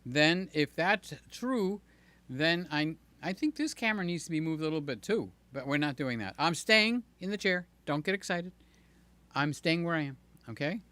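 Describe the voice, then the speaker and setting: An older man hosting a live stream, chatting loosely with viewers, his voice slightly hoarse. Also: on the high side, a bit bright. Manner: rambling, jokey, talks to chat by name. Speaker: livestream host. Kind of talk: casual live chat with viewers. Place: home room with fans and air conditioner. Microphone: desk mic near the mouth.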